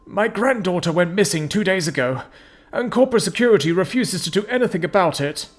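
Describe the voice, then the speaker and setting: A man's voice: high voice, expressive, brisk, faint music behind, voice pushed up. A man voicing a frail, desperate old male amphibian creature with a quivering, hissing voice in a storybook reading. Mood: desperate pleading.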